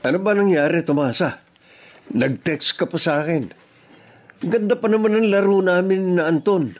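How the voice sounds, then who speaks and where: A man acting as a callous, self-assured philanderer in a Filipino radio drama. His voice sits a little high for a man and has a Filipino accent. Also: moderate pace, a little mellow, natural voice. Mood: puzzled, complaining. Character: callous, self-serving married man with a mistress.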